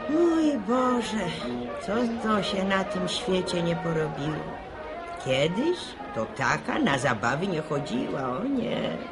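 Moralizing country woman, unhurried, spiteful, old radio drama with music behind. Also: lowish voice, big pitch swings, full character voice. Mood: scandalized. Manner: rustic, scandalized, storytelling. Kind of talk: Polish radio serial acting.

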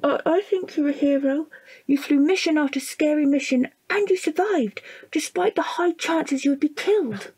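Young daughter played by a woman, radio play: high, childlike voice, inquisitive, earnest, tentative. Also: moderate pace, a little mellow, natural voice, low-quality recording. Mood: loving, earnest. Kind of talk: audio drama acting.

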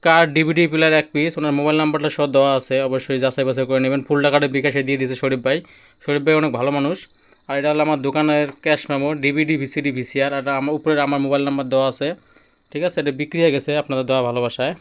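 A man's voice: on the high side, level tone, very fast, clear, resonant tone. A man with a Bangladeshi accent giving a casual product demonstration as a shop seller, explaining hands-on and repeating himself. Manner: repetitive, hands-on showing and explaining. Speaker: electronics shop owner and seller. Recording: music playing from demonstrated player. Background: music from a player.

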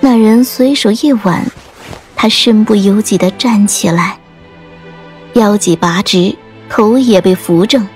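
A woman narrates a dramatic story for an audiobook. Her delivery is slow and measured, at a medium pitch, with a literary feel. Soft music plays faintly behind her.

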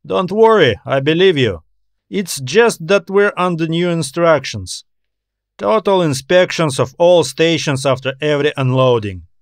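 A man's voice: fairly high pitch, animated, unhurried, dry recording, clean studio sound. A man voicing a tired, anxious customs officer in an audio story, talking in a weary, griping way.

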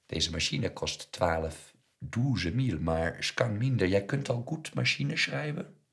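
Man putting on a small, animated old shopkeeper, sixties. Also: on the low side, measured pace, gravelly, pitched above the performer's own voice, heavily acted. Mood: brisk, haggling. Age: 60s. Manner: mixes Dutch with French and Yiddish.

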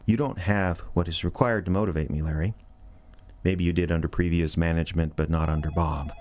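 An actor playing a fumbling, defiant young radio DJ in an audio drama, stammering and sardonic. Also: male voice, low-pitched, steady tone, a little fast, a little mellow, lightly acted. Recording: clear background noise.

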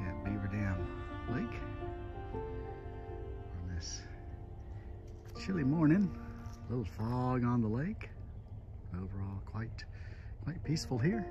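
An older man speaks at an ordinary middle pitch, relaxed and unhurried, dropping in plenty of "uh" fillers. He films himself outdoors on a phone at arm's length. Loud music plays under his voice. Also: dull audio. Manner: relaxed, easygoing, with frequent "uh" fillers. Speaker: RV travel vlogger. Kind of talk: casual travel vlog narration. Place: outdoors at campground, gas station and driveway. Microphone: phone held at arm's length.

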